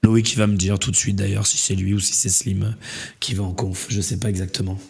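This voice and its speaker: Low-voiced man with a failing voice. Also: brisk, gravelly, slightly rough. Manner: relaxed, rambling, many fillers. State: losing his voice, has a cold. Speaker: host. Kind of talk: casual call-in chat.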